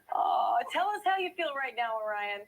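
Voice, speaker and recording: Lively high-voiced woman presenter. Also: low-quality recording. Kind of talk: upbeat presenting and interviewing. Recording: faint background noise.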